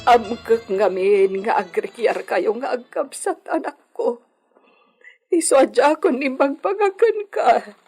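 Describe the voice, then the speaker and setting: A woman playing a worried village mother with a husky voice and an Ilocano accent in a radio drama. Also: on the high side, takes it slowly, darkish tone, soft background music, heavily acted.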